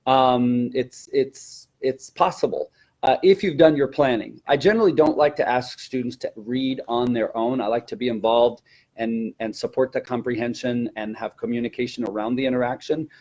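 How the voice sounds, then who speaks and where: A man's voice: mid-range voice, moderate pace, a little mellow. Man instructing teachers in mid-range voice. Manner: explanatory, instructive. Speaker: teacher and trainer presenting a webinar. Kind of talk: informal webinar discussion of teaching techniques.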